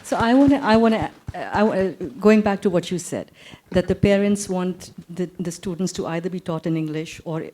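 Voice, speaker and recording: A woman's voice: lowish voice, fairly quick, warm tone, slight background noise. A woman, an academic making an impassioned, emphatic case on a panel and repeating phrases for weight. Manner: emphatic, repeats phrases, mixes English and Urdu.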